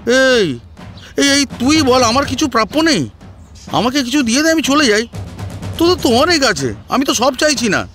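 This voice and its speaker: A man's voice: very high-pitched, very animated, normal speed, long pauses, fairly bright tone, soft background music, voice pushed up, exaggerated voice. A man playing a greedy, shameless son-in-law in an audio drama, pleading and arguing insistently for what he thinks he is owed. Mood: desperate wheedling. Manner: insistent, wheedling, argumentative. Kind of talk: Bengali audio story acting.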